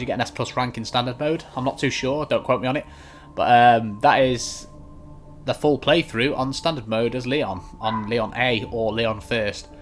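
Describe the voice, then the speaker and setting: A man's voice: medium pitch, fairly bright tone. A man giving informal, chatty video game walkthrough commentary, talking straight to his viewers. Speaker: gaming YouTuber.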